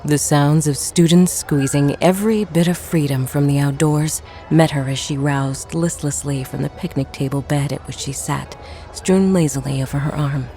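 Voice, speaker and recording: A woman's voice: deep voice, steady tone, moderate pace, dark tone. A woman giving a scripted narration of a horror audio drama. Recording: music and crowd noise behind narration. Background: music, crowd sounds.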